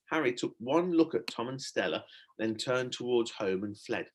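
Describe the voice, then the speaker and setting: A man narrating a children's storybook in an expressive, dramatic storytelling manner, like a teacher reading aloud. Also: medium pitch, moderate pace, low-quality recording.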